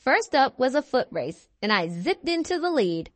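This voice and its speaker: Woman as a boastful, animated high-pitched cheese wheel. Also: no room sound. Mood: smug, confident. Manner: theatrical, bragging, drawn-out emphatic words. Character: boastful, melodramatic cheese wheel telling its own story. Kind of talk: expressive children's picture book read-aloud.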